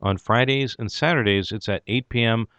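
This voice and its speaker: A man hosting an interview, asking questions haltingly with many restarts and fillers. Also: mid-range voice, fairly bright tone, smooth, dry recording. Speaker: radio interviewer. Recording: dull lo-fi dry sound.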